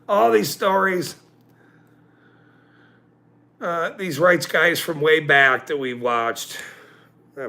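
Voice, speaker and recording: A man in his forties hosting a livestream, ranting angrily with profanity and loud outbursts, then joking about himself. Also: sits high, vocal fry. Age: 40s. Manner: angry, profane, with loud outbursts and self-mocking laughs. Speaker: channel host. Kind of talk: livestream rant.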